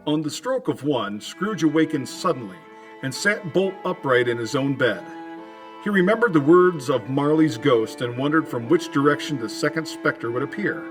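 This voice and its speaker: A man's voice: a little high, expressive, moderate pace, over music. A man narrating theatrically in the style of an old-time radio drama.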